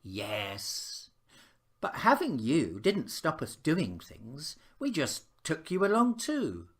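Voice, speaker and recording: A man reading a children's picture book as a patient, reassuring father, speaking in a gentle, measured way. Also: a little high, wildly swooping pitch, husky, voice pushed up, full character voice.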